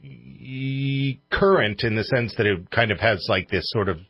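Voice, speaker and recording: A man with a husky voice hosting a radio show in casual banter. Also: medium pitch, measured pace, dry recording, lo-fi sound.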